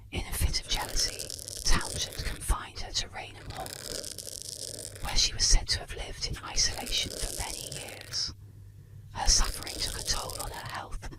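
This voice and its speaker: A storyteller in a soft, breathy, unvoiced whisper close to the microphone, narrating scripted spooky tales in a soothing, measured ASMR style. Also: rough recording. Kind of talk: scripted ASMR narration of ghost stories and urban legends.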